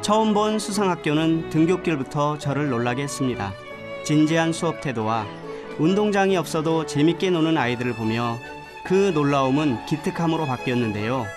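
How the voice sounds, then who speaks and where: A man narrating a travel documentary in a gentle, reflective storytelling voice. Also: on the high side, lively intonation, brisk. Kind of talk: scripted voice-over narration. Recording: voice-over with music behind. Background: background music.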